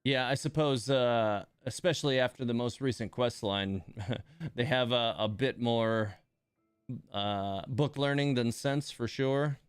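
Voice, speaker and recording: A man's voice: mid-range voice, measured pace, smooth, dry recording, studio quality, soft background music. A man giving casual, rambling live stream commentary on a game, thinking aloud as he plays.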